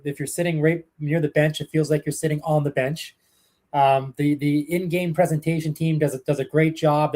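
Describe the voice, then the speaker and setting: A man's voice: on the high side, darkish tone. A young Canadian man, a hockey broadcaster, answering interview questions in a chatty, storytelling way with many fillers and restarts. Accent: Canadian English. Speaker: hockey play-by-play broadcaster, guest.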